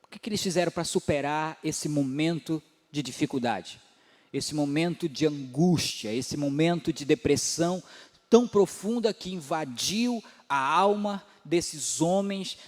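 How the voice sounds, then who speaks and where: A man in his forties preaching a sermon as pastor, building to emphatic rises and dropping low again. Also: a little mellow. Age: 40s. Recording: long hall reverb.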